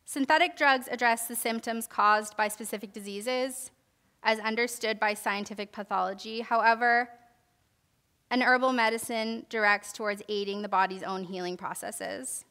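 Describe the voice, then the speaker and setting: A woman's voice: fairly high pitch, steady tone, normal speed, pushed and bright, clear, resonant tone. A young woman giving an informal herbalism lesson in a church hall, reading from notes and then demonstrating, with many fillers and restarts. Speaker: herbalism class presenter. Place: church hall stage, large indoor room.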